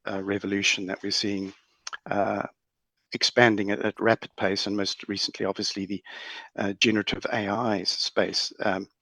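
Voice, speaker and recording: South African man, middle-aged, low voice, dry sound, measured and hesitant, formal panel answers. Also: flat delivery, gravelly, sharp tone, dry recording. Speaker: corporate lawyer, panel guest. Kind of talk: considered panel discussion answers.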